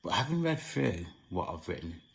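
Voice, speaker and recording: Hoarse guy with a real low gravelly voice, slow and halting, lots of pauses, earnest spiritual talk. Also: big pitch swings. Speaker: channel host. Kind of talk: spiritual monologue.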